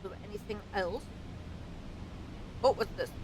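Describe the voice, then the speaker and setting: A woman streaming a let's play, reading game text aloud and commenting in a casual, informal way. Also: measured pace. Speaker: streamer playing and reading a visual novel. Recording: clear rumbling background noise.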